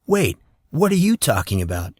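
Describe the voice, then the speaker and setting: A man's voice: mid-range voice, very animated, moderate pace, a little mellow, no room sound, clean studio sound. A man voicing a brash, teasing teenage boy in an audio drama, sarcastic and full of slangy banter. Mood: cocky teasing.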